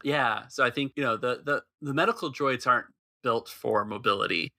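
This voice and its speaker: A man's voice: mid-range voice, normal speed, sharp tone, no room sound, clean studio sound. A man narrating a tabletop role-playing game as game master, describing scenes and calling for checks.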